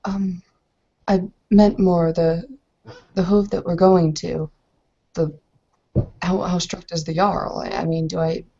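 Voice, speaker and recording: A woman's voice: on the low side, level tone, slow pace, long pauses, dark tone, highs cut off. A woman playing an earnest, polite young Bavarian bride in a tabletop game, asking things in a hesitant, curious way.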